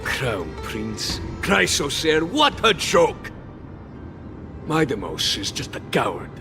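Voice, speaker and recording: Fierce game warrior, contemptuous, declamatory, wildly varied pitch, music behind. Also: male voice, big pitch swings, slow pace, creaky, exaggerated voice. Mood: scornful. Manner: declamatory, scornful. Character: fierce, contemptuous male loyalist warrior of warlike city. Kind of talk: video game voice acting.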